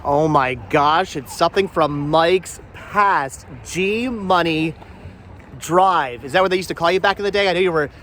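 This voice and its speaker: Male vlog host, fairly high voice, upbeat and chatty, casual walk-around delivery, light outdoor noise behind. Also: moderate pace, fairly bright tone. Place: outdoor flea market on a cold day. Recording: faint outdoor background noise.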